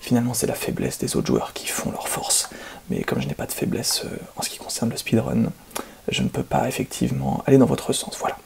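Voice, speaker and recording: Whispering, creaky, smug male speedrun gamer, acted by a man. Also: medium pitch, sing-song, fairly quick, darkish tone. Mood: boastful. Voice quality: breathy whisper with creaky edges. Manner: smug, condescending, hesitant rambling delivery. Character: detestable, boastful, deluded male speedrun gamer blaming bugs. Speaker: video game streamer. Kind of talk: whispered ASMR roleplay, game commentary.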